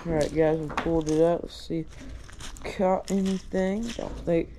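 A man narrating his own outing in a relaxed, chatty way, filmed outdoors by a creek on a chest-mounted action camera. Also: on the high side, moderate pace, background noise. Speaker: vlogger. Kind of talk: casual first-person commentary.

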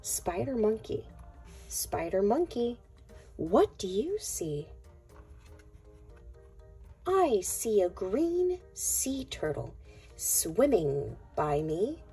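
A woman reading a picture book aloud to young children in a deliberate, sing-song way. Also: mid-range voice, sing-song, warm tone, slightly rough, faint music behind. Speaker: children's read-aloud narrator.

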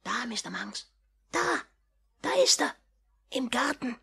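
A man playing a scheming old criminal in a 1950s German radio drama, excitable and wheedling in manner. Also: extremely high pitch, measured pace, vocal fry, a little hiss or hum, heavily acted. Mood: sly, excited.

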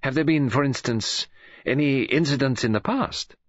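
A man narrating an audiobook voices a fussy, formal older male detective, speaking in a measured, soothing manner. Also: mid-range voice, no echo, muffled recording, voice pushed up, lightly acted. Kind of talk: audiobook character voice.

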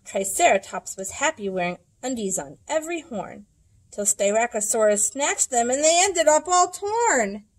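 A woman's voice: a little high, big pitch swings, normal speed, rough recording. A woman in her thirties reading a rhyming picture book aloud expressively, with a sing-song delivery. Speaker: children's library storytime reader. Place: indoors in a library room.

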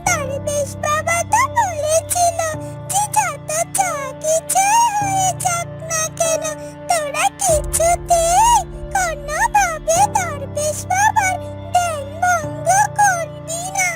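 Very high, slow, pleading little bird. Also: female voice. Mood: worried, pleading. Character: anxious yellow bird pleading slowly with friends. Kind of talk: children's cartoon voice acting. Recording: music under the voice.

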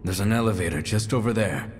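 An actor playing a calm, wise beast companion in a video game, speaking in a grave, measured, formal way. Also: male voice, lowish voice, slight background noise, natural voice. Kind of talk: dramatic video game cutscene acting. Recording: reverberant hall, music behind.

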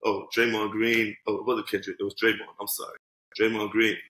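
A man co-hosting a casual sports podcast, animated and joking, swearing freely as he talks over a video call. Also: fairly low pitch, brisk, echoey room, low-quality recording. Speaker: podcast co-host. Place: home room. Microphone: headset on video call.

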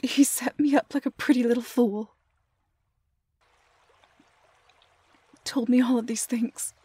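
A woman playing a heartbroken young woman lost in a fairy-tale forest, in an emotional audio roleplay, halting and often dropping to a whisper. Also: a little high, fairly even intonation, mellow, breathy edge, no echo. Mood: bitter, anguished.

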